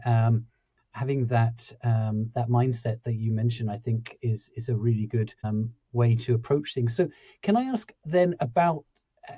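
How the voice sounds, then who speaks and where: A man's voice: medium pitch, warm tone, no echo. A man hosting a podcast interview, thinking aloud with many restarts and fillers. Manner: rambling, full of restarts and fillers. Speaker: podcast host. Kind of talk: conversational interview. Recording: dull, dry lo-fi audio.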